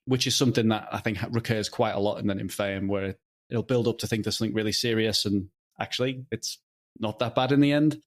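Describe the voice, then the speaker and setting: A man being interviewed as a writer, talking conversationally in a halting, thoughtful way. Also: lowish voice. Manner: halting, thoughtful, often chuckling.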